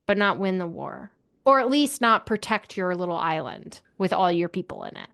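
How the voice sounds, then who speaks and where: A woman's voice: lowish voice, big pitch swings, normal speed, gravelly, fairly bright tone. Opinionated woman chatting casually. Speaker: book club podcast co-host. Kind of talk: casual book discussion chat.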